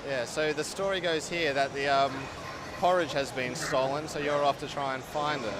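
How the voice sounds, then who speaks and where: A man's voice: on the high side, steady tone, normal speed. A man giving a casual, informal live commentary as he demonstrates a game. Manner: informal, easygoing. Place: busy game expo show floor. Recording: loud noisy expo floor background.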